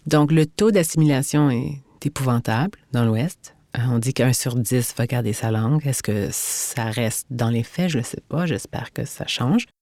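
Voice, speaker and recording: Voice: medium pitch, normal speed, darkish tone, dry recording. A speaker giving a reflective personal testimony, searching for words. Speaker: interviewee.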